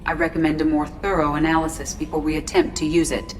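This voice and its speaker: An actress voicing a composed, precise female ship computer in a video game, formal and measured. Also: low voice, flat delivery, music in the background, close to an everyday voice. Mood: calm, cautioning. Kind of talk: video game character acting.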